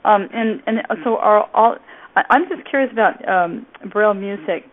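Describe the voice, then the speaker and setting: A woman's voice: mid-range voice, normal speed. A woman hosting a group call, asking eager questions and saying okay often. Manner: many okays, eager questions. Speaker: host. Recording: tinny phone line with faint hiss.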